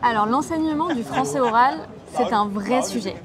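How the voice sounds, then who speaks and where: A woman in her twenties from Toulouse, a French teacher speaking as a guest in a relaxed interview, explaining in a clear, measured way. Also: on the high side, crowd noise. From Toulouse, southwest France. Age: 20s. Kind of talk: casual conversational interview.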